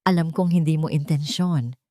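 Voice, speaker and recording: A woman voicing a reserved, widowed rich father who speaks calmly but heavily in short, clipped lines. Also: deep voice, normal speed, no room sound, studio quality, close to an everyday voice. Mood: curt. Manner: calm but heavy, clipped.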